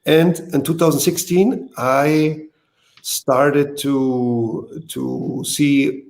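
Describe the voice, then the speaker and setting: German-accented male guest, grainy voice, non-native speaker, halting and repetitive. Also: fairly high pitch, a little mellow. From East Germany. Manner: hesitant, repeats words, non-native phrasing. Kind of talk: casual interview talk. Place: video call.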